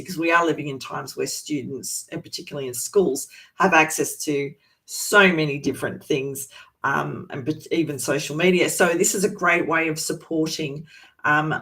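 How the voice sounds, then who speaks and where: A teacher from Australia's east coast, presenting a teaching resource in a relaxed, chatty way with many pauses and restarts. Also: mid-range voice. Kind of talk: informal presentation to educators.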